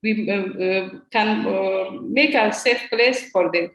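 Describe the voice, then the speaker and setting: A woman parliamentarian speaking halting, non-native English at a roundtable, with many pauses and fillers. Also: mid-range voice, a bit bright, reverberant. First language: not English. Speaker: Afghan parliamentarian in exile. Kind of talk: roundtable remarks.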